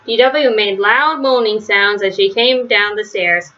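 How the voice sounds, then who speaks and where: A woman's voice: mid-range voice, a little slow, dull audio. A woman reading a children's story aloud as narrator. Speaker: storybook reader.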